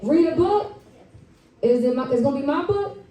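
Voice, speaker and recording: A woman's voice: sits high, animated, normal speed, dark tone. A woman who teaches and writes children's books, giving an encouraging live talk straight to an audience of children and families. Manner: encouraging, addresses the audience directly. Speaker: children's author and school teacher. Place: library event room, speaking to a live audience. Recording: roomy, echoey live event, distant mic.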